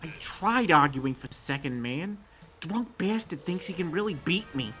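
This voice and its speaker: A performer voicing a brash, cocky young male mercenary who argues and boasts in an excitable way, in a fan story reading. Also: mid-range voice, moderate pace. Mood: argumentative. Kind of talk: amateur voice acting in a live fan story reading. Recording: music behind the voice.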